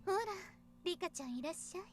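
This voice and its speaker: A woman's voice: very high-pitched, very fast, soft background music. A woman voicing a haughty, sly young woman in a visual novel, condescending, teasing and self-assured. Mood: cool, coaxing. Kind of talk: Japanese visual novel voice acting.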